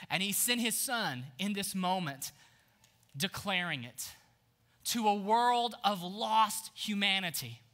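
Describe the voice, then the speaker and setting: A man in his thirties preaching an energetic sermon on a church stage, swinging from hushed lines to shouts. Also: high-pitched. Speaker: pastor.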